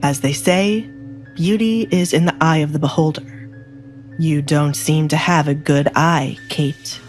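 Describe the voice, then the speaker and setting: A woman's voice: sits low, a little slow, a little mellow, soft background music. A woman voicing a cold, commanding male duke in a romance audio drama, stern and controlled. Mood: cold, threatening.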